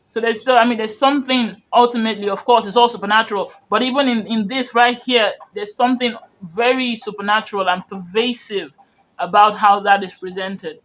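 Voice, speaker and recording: High-voiced man. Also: fairly even intonation, a little fast, a bit bright, rough recording. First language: Yoruba. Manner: rambling, earnest, full of "you know" and restarts. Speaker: media commentator. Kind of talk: reaction commentary on video clips.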